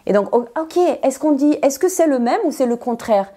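Mellow-voiced teacher, woman. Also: sits high, expressive, normal speed. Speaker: non-native French teacher, interview guest. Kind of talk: conversational explanation in an interview.

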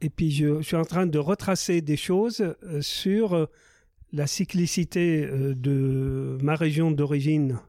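A man in his sixties, originally from Palestine, an agronomist speaking in a group discussion, measured and searching for words. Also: on the high side, fairly even intonation, warm tone, dry recording, clean studio sound. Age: 60s. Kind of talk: casual discussion.

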